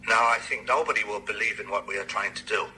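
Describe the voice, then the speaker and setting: Dejected male scientist, very bright sharp voice, halting, formal, grave, radio drama, faint noise. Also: on the low side, steady tone, rough recording. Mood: dejected, apologetic. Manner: halting, formal, careful phrasing. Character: dejected male medical researcher, grave and apologetic.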